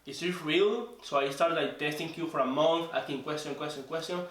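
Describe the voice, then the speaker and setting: A man's voice: fairly high pitch, steady tone, fairly bright tone. Venezuelan man, strong Spanish accent. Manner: earnest, halting, repeats words while searching for English. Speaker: trading student guest. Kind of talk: casual interview storytelling. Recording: echoey room, voice from a distance.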